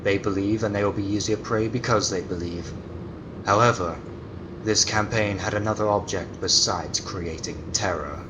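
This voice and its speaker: An actor playing a coolly polite, menacing master criminal in a 1940s-style radio drama, with formal, measured, mock-courteous phrasing. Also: male voice, on the low side, background noise, close to an everyday voice. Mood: smug, controlled.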